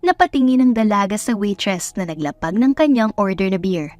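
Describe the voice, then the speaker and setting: A woman's voice: mid-range voice, expressive, brisk, darkish tone. A woman with a Filipino accent reading scripted romance story narration. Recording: dry voice over background music. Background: music.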